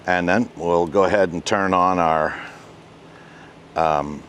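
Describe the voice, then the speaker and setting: An older man in his sixties giving a casual step-by-step tutorial outdoors as host, with halting, deliberate delivery. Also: low-pitched, steady tone, a bit bright, a little hiss or hum. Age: 60s. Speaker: host, ham radio hobbyist. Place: outdoors, residential backyard and covered patio.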